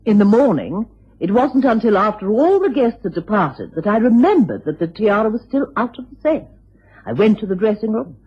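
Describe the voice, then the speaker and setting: An actress playing a dignified, dramatic aristocratic widow with an upper-class English accent in a radio drama. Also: female voice, medium pitch, big pitch swings, moderate pace. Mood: composed, regretful. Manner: genteel, dramatic. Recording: muffled, husky-sounding old radio recording.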